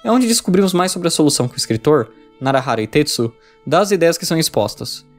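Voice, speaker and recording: A man's voice: fairly high pitch, big pitch swings, fast, soft background music. A man with a Brazilian accent reading a scripted video review.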